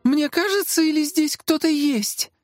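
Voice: medium pitch, lively intonation, slow pace, soft background music, pitched above the performer's own voice. A performer voicing a jumpy, dramatic young woman lab assistant in a children's audio story. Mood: uneasy.

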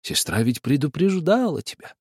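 A man's voice: fairly high pitch, sing-song, normal speed, darkish tone, breathy edge, no room sound, studio quality. A man doing a stern, scolding father. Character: stern, angry father, middle-aged man.

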